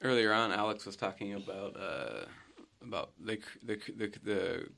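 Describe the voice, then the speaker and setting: A man's voice: fairly low pitch, expressive, normal speed, creaky, bright, forceful tone, slight background noise. A filmmaker guest in a casual interview, reflective, often stumbling and restarting his sentences.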